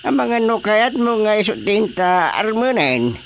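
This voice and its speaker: A man's voice: high voice, very animated, a little slow, creaky, slight background noise, full character voice. A man playing a bossy older uncle, a village chairman, with an Ilocano accent and emphatic delivery in a radio comedy. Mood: scheming, advising. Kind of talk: Filipino radio drama comedy acting.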